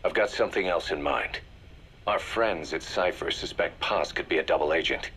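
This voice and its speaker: Very deep, grave military briefer. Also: male voice, pushed and bright, husky, full character voice. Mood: grave, calculating. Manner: measured, serious. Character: grave, calculating male intelligence briefer for mercenaries. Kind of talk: video game audio drama dialogue.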